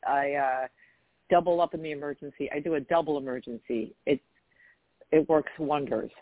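A woman's voice: deep voice, normal speed, no room sound. Chatty woman co-host on a tinny line. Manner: warm banter, restarts, exclamations. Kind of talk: casual radio chat. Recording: tinny phone-like dry line.

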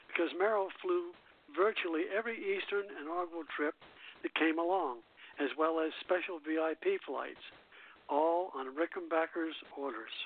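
A man with a slightly high voice hosts a radio show in a casual style. He reads quotes haltingly, and the sound is thin and narrow, like a telephone line. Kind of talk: casual hosting, reading quotes from a book.

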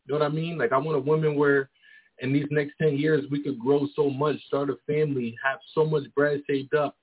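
A man's voice: on the high side, flat delivery, a little fast, husky. A man in his twenties talking casually and at length, often checking in with "you feel me". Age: 20s. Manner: rambling, frequent "you feel me". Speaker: guest. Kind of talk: casual chat. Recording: tinny phone-like sound.

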